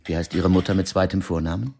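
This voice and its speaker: An actor playing a controlled, commanding secret agent in a naturalistic radio drama. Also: male voice, sits low, steady tone, fast talker, gravelly, a little mellow, a little hiss or hum. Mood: cool, testing.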